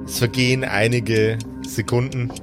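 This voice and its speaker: A man's voice: mid-range voice, level tone, normal speed. A man narrating an improvised tabletop role-play as game master, in a casual, conversational style. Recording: music behind the voice.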